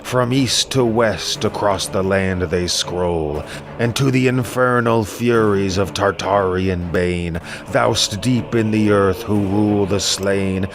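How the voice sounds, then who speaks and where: A man playing a solemn ancient king, declaiming prayers and proclamations in measured verse for an audio drama. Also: lowish voice, background noise. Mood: solemn invocation.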